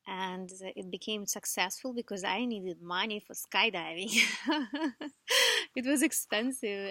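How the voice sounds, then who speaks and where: A woman in her thirties from Ukraine, English speaker, telling her life story in a soft, reflective, unhurried way with gentle laughs. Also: medium pitch, vocal fry, dry recording. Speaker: vlogger. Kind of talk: personal life story monologue.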